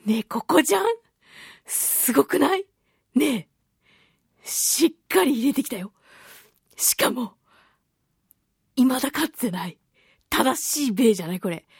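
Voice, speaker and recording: High-voiced playful woman radio host. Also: measured pace, pauses often, husky, no room sound, studio quality. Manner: playful, giggly, animated. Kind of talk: solo radio talk show, reading listener mail.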